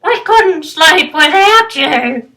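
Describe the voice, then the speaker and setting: An older woman voicing a grumpy male otter from a children's storybook, in emphatic, drawn-out calls. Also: high-pitched, expressive, bright, forceful tone, distant mic, pitched above the performer's own voice, full character voice. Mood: pleading, sorry.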